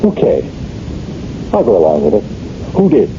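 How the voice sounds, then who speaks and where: An actor playing a skeptical, exasperated police captain in a 1970s radio drama, with sarcastic, challenging delivery. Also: male voice, fairly low pitch, huge pitch swings, normal speed. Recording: muffled, noisy old radio recording.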